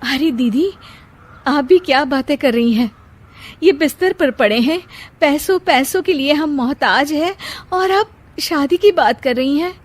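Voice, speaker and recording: A woman's voice: sits high, expressive, a little slow, slight background noise, pitched above the performer's own voice. A woman voicing a distressed, indignant middle-aged mother who protests and pleads in a moral story. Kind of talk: Hindi moral story dramatization.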